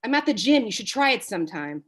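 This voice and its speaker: A woman's voice: fairly high pitch, big pitch swings, fast talker. A woman reading the part of a crude, cocky male Hollywood agent in a comedy table read, blunt and taunting. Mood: needling, blunt. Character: brash, crude, foul-mouthed male Hollywood talent agent.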